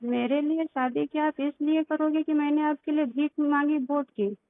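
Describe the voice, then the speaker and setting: Woman, high voice, reproachful and insistent, private argument over a narrow phone line. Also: steady tone, moderate pace, no echo. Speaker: private caller. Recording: tinny, band-limited recorded phone call.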